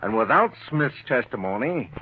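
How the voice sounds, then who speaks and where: A man's voice: mid-range voice, sing-song, moderate pace, husky. An actor playing a shrewd, confident government lawman in an old-time radio crime drama, pressing his point assertively. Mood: coolly triumphant. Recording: muffled, narrow-band old radio recording.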